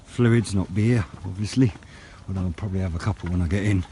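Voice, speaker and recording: British man, fairly deep pitch, casual vlog chat, rambling, unhurried, outdoor noise behind. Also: dark tone. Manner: rambling, unhurried, low-key. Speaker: radio hobbyist vlogger. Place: outdoors on wooded high ground by a road. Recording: outdoor noise with passing vehicles.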